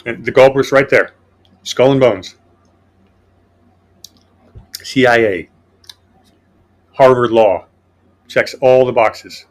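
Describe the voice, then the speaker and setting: A man's voice: medium pitch, takes it slowly, long pauses. A man hosting a casual political podcast, reading from his notes in a sardonic way. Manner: sardonic, reads notes aloud. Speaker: podcast host.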